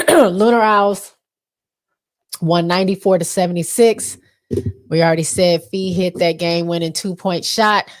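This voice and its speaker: A woman's voice: fairly low pitch, fairly even intonation, measured pace. A woman with an American accent, stuffy from allergies, casually recapping basketball stats with lots of ums. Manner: reads stats with many fillers. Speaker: podcast co-host.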